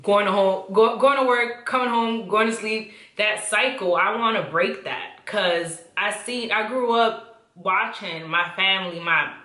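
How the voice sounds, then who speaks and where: A woman's voice: medium pitch, a little slow, sharp tone. A woman, hosting her channel and chatting candidly. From the Bronx, New York. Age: 20s. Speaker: channel host. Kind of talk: casual conversational vlog. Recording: roomy echo.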